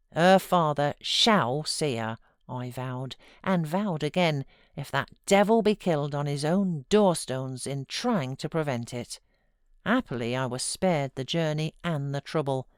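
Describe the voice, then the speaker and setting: Low-voiced British woman narrating. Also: darkish tone, no room sound, clean studio sound. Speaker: audiobook narrator. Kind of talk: dramatic literary narration.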